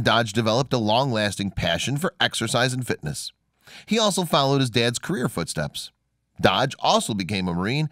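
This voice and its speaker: A man's voice: medium pitch, a bit bright, no echo, clean studio sound. A man reading scripted narration in the style of a documentary.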